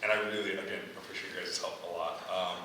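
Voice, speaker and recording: Young man, low-pitched, halting and searching for words, discussion leader, distant mic in a reverberant hall. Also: steady tone, sharp tone. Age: young adult. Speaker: playwright leading a post-reading feedback discussion. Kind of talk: discussion, answering audience feedback. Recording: echoey hall, distant mic, faint noise.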